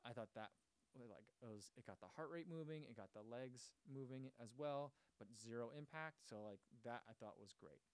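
A man's voice: mid-range voice, moderate pace, smooth, dry recording. A man hosting a running channel, casually chatting and reading viewer comments aloud, rambling with lots of fillers and restarts. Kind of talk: casual livestream chat.

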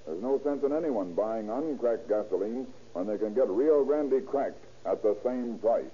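An American actor playing an assured, matter-of-fact chemist in a scripted 1930s radio advertisement. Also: male voice, mid-range voice, expressive, moderate pace, natural voice. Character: assured male police chemist endorsing a product. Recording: dull, lo-fi 1930s radio recording.

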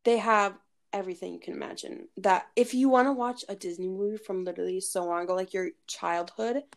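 A woman's voice: medium pitch, a little fast, vocal fry. A woman co-hosting a podcast in bubbly, gushing casual chat, sometimes groping for words. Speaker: podcast co-host.

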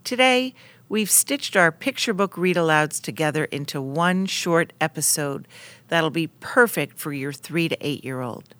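A woman podcast host reading scripted introductions in a clear, presentational way. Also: low-pitched, expressive, unhurried, fairly bright tone, no echo.

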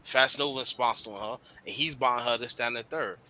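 A man's voice: medium pitch, fast talker, sharp tone, slight background noise. A man co-hosting a podcast, chatting casually and animatedly, swearing and using slang. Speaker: podcast co-host. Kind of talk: casual chat.

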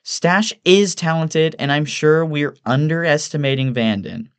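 Guy doing a whiny vain rich young woman for an audiobook, pitched way up, sighing and exasperated. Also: unhurried. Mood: defensive. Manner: breathy sighing whine, exasperated. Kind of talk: audiobook character voice.

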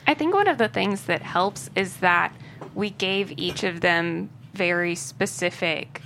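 A woman's voice: fairly low pitch, animated, measured pace, vocal fry, fairly bright tone. A woman speaking casually as a guest screenwriter in a panel discussion. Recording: faint room noise.

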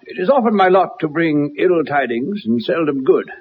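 A grave medical knight in a muffled vintage radio play. His voice is fairly high for a man and husky, his phrasing formal and measured. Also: animated. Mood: grave, reassuring. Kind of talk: radio drama acting. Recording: muffled old radio recording.

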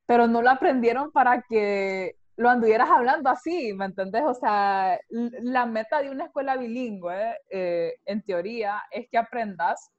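A woman's voice: a little high, normal speed, muffled recording. A young woman with a Honduran Spanish accent, chatting casually and arguing her point emphatically. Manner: emphatic, opinionated, animated, voseo with lots of "o sea". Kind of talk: casual conversation, podcast-like.

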